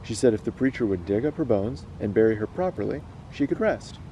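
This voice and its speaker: Man, mellow relaxed voice, read-aloud storyteller, casual host, faint background noise. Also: fairly low pitch, a little fast, poor audio. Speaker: host reading stories aloud.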